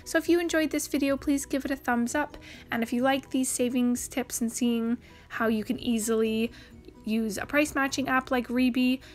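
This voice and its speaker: A woman from Canada narrating a grocery haul as a voice-over, listing prices and savings in a brisk, upbeat way. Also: high-pitched, steady tone. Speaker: couponing channel host. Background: faint background music.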